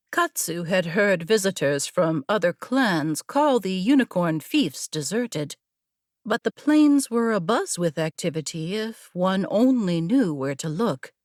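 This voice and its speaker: A woman reading scripted fiction as an audiobook narrator, in a measured, deliberate, dramatic storytelling manner. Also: mid-range voice, no echo, studio quality.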